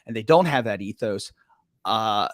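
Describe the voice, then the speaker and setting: A man's voice: fairly low pitch, lively intonation, unhurried, no room sound, studio quality. A middle-aged man hosting a podcast interview, talking enthusiastically with some restarts. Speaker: podcast host.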